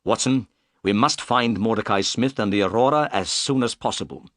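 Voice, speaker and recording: A man reading the part of a calm, polite detective in a storybook, speaking firmly and briskly. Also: on the low side, dry recording, clean studio sound, lightly acted. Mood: urgent. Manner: firm, clipped, quick delivery.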